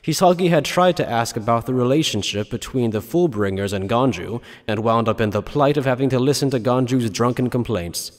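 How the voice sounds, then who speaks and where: A man's voice: medium pitch, brisk, hardly any pauses, long reverb tail, natural voice. A man reading scripted narration for an anime fan dub as its storyteller.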